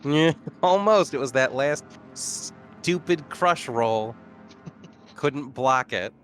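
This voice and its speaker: A man's voice: medium pitch, animated, slow, a bit bright, soft background music. A man chatting casually as a player in a tabletop roleplaying game. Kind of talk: casual chat during a game.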